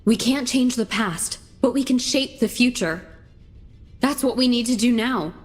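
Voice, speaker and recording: A woman playing a resolute, idealistic young heroine in a game dub, giving measured, earnest speeches. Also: on the high side, faint music behind, natural voice. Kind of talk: English game dub acting.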